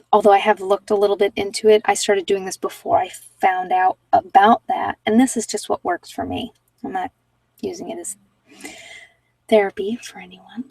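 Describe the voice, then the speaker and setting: A woman speaks softly in a casual livestream chat, often dropping toward a whisper, rambling reflectively and trailing off mid-thought. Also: mid-range voice, vocal fry, no echo, recorded from a distance, poor audio. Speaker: ASMR meditation host.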